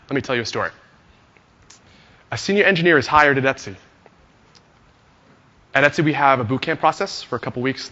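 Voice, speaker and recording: A hoarse man giving a friendly conference talk to an audience, telling a story with many "uh" fillers. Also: medium pitch, sharp tone, big-room sound, lo-fi sound. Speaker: conference speaker, engineer.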